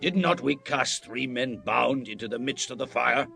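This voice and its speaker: An actor playing a proud, wrathful ancient king in a dramatized scripture, speaking in a grand, deliberate, declamatory way. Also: mid-range voice, creaky, sharp tone. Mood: astonished. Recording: music bed behind the voice.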